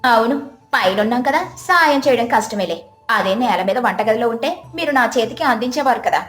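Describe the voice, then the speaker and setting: A woman voicing a calm, sensible village daughter-in-law in a moral story, speaking in a placid, reasonable way. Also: fairly high pitch, brisk, roomy sound, soft background music. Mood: gently pointed. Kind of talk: moral story character acting.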